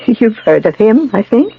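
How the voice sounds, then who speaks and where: An actress playing a serene, sad, wise guardian in an old radio drama, speaking in a measured, gentle, instructive way. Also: female voice, on the high side, vocal fry, full character voice. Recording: muffled old radio broadcast, faint noise.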